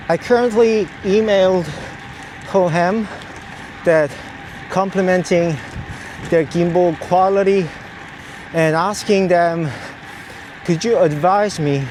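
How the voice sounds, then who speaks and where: Male cycling vlogger, high voice, explanatory and halting delivery, recorded outside with noticeable vehicle noise. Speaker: vlogger, cyclist testing camera gear. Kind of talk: explanatory vlog talk while riding. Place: outdoors on the road while cycling. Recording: clear outdoor road and traffic noise. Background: ambience and vehicle noise.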